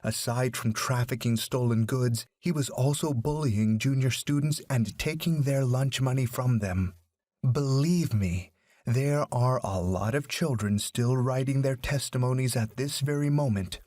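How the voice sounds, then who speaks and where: A man's voice: medium pitch, a little slow, darkish tone, no echo, natural voice. A man voicing a stern, resolute woman school principal with a formal, firm manner in a story.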